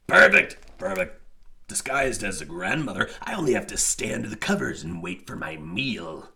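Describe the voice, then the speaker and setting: A man doing a deep, sneering big bad wolf. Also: sing-song, normal speed, vocal fry, sharp tone, heavily acted. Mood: scheming. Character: scheming big bad male wolf, boastful, easily flustered.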